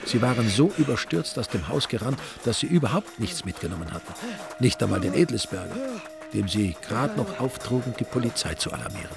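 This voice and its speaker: A man narrating a suspenseful rural crime story in a scripted radio drama, with a creaky voice. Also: medium pitch, expressive, a little fast. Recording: music under the voice. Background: music.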